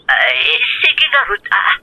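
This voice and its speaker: A woman's voice: slow, noisy background, lightly acted. A woman with an Ilocano accent acting a fond, flustered provincial mother in a radio drama. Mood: flustered, surprised. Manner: exclamatory, hesitant.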